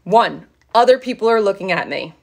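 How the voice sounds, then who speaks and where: A woman's voice: mid-range voice, animated, brisk, gravelly. Casual, emphatic woman hosting a live chat. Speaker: live show host and fitness coach. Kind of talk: casual live discussion, reading viewer comments. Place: small office with drop ceiling.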